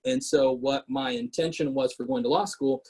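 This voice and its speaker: A man in his thirties whose first language is Portuguese, speaking as a guest on a video call in a relaxed, conversational way with many fillers and restarts. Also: mid-range voice, normal speed, slightly rough. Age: 30s. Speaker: guest speaker, city council member. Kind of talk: casual webinar talk.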